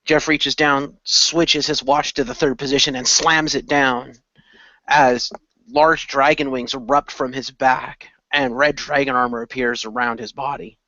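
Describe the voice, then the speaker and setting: A man's voice: medium pitch, steady tone, a little slow, sharp tone, no room sound. A man improvising narration and casual in-character dialogue for an amateur radio play. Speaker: player in fan radio play. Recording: dull lo-fi voice recording.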